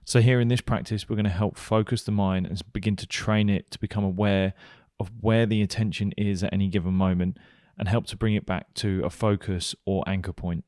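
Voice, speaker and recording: A man leading a guided meditation, giving gentle, unhurried instructions with pauses. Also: lowish voice, steady tone, no echo, studio quality. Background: music.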